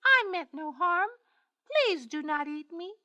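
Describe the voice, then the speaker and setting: A tiny, timid mouse begs for mercy in a squeaky, very high voice, as a woman reading a children's storybook puts him on. He pleads with lively, rising inflections and a bright tone. He sounds small and frightened. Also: slow, dry recording, heavily acted. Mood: pleading.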